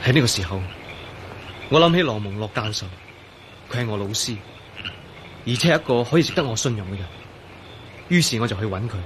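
A man's voice: on the low side, animated, a little fast, pauses often, background noise, natural voice. An actor playing a frightened, hunted young man in a radio drama, anxiously explaining himself. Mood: fearful confession. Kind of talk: Cantonese radio drama acting.

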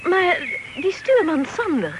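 Woman doing a bossy lady, high and swooping, loud tape hiss. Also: wildly swooping pitch, takes it slowly, heavily acted. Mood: curious. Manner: bossy, impatient. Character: bossy lady scolding her husband. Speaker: actor in a radio play.

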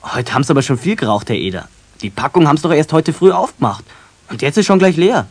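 Husky young Bavarian apprentice. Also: male voice, fairly high pitch, very animated, quick pace. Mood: indignant. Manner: emphatic, protesting. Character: young male carpenter's helper, eager, indignant, clumsy. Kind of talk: children's radio play acting.

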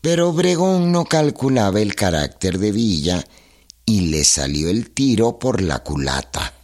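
A male narrator tells a scripted history in a radio play. His voice is fairly low and very unhurried, over clearly audible music. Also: big pitch swings. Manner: deliberate, storytelling.

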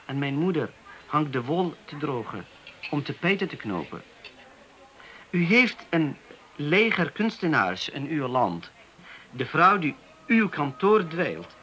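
A man's voice: fairly high pitch, sharp tone, a little hiss or hum, full character voice. A man playing an earnest young Turkish waiter. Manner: earnest, halting with hesitations. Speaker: Turkish waiter in a police interview. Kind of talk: radio play acting.

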